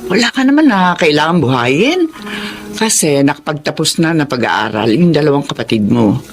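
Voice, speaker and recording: A woman playing a nagging but caring middle-aged aunt in a Filipino radio drama, chiding and expressive. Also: low voice, normal speed, soft background music. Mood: gently advising. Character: nagging, caring aunt who raised her niece.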